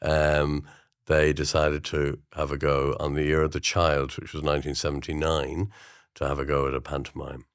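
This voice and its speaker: A man's voice: very deep voice, completely flat delivery, normal speed, clear, resonant tone, no echo, studio quality. An older man with an Irish accent, chatting as an interview guest in a rambling, thoughtful, anecdotal way. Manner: rambling, thoughtful, often agreeing. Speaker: interview guest, amateur drama society member. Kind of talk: casual chat, anecdotal.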